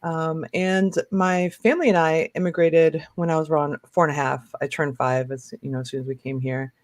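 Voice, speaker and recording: A woman's voice: low voice, steady tone, moderate pace, no echo. Woman of fifty, hesitant casual storyteller, dry sound. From born in Lebanon, raised in Michigan. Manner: hesitant, peppered with "you know" and "like". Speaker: interview guest, filmmaker.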